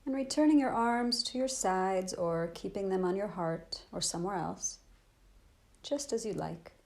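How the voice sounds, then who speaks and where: A woman leads a guided meditation as a teacher would, with a soothing delivery at a medium pitch. She speaks gently and takes her time, leaving long pauses between short instructions. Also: creaky. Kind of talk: guided body scan meditation.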